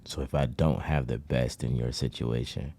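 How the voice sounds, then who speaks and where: A man's voice: very deep voice, steady tone, normal speed, warm tone. A man in his thirties talking in casual podcast chat, earnest and preachy in long winding runs. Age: 30s. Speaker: podcast co-host.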